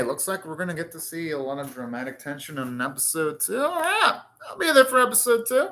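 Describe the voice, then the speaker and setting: A man's voice: on the high side, a bit bright. A man hosting an anime reaction, commenting casually and thinking aloud in short, rambling remarks.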